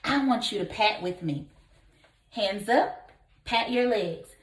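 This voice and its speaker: A woman teacher reading a story aloud to young children in an expressive, deliberate way, prompting them with questions. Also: mid-range voice. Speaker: kindergarten teacher. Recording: echoey room.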